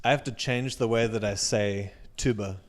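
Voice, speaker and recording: An Australian man with a low-set voice talks through his plans as a guest on an interview show. He is earnest and reflective, and his sentences fade out softly at the ends. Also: steady tone, normal speed, a little hiss or hum. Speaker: guest, music educator. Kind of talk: casual interview talk.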